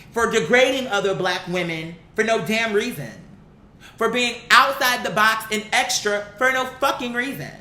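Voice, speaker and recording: An online commentary host giving a blunt, opinionated, casual rant to viewers, swearing freely and repeating words for emphasis. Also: pushed and bright. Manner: blunt, profane, emphatic repetition. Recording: roomy echo, faint rumbling noise.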